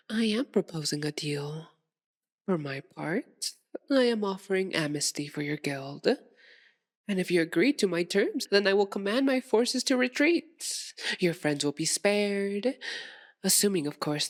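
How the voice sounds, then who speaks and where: A woman playing a possessive, sadistic female vampire in a theatrical ASMR roleplay, teasing and seductive, with a purring drawl that slips into breathy whispers. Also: lowish voice. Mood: silky, calculating.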